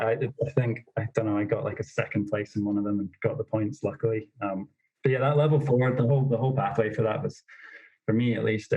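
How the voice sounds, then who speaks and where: Husky man with a monotone delivery. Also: medium pitch, poor audio. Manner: self-described monotonous delivery, many fillers. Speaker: snowboard instructor trainer, podcast guest. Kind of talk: casual interview storytelling.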